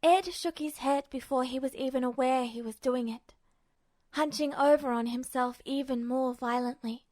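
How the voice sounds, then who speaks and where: High-voiced woman narrating fiction. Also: moderate pace, a little mellow, no echo. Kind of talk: expressive scripted story narration.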